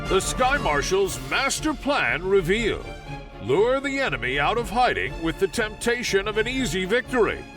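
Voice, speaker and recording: Propaganda newsreel voice: high, animated, bombastic, set back behind prominent music. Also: male voice, moderate pace. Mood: triumphant. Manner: grandiose, declamatory. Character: triumphant, bombastic male military propaganda newsreel announcer. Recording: distant voice under loud music.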